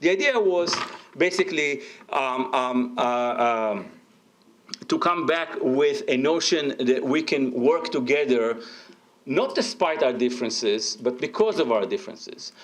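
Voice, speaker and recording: A man's voice: mid-range voice, lively intonation, measured pace. A man, speaking as a panelist at an academic discussion, hesitating often with fillers and then rising into emphatic bursts. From Israel. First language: Arabic.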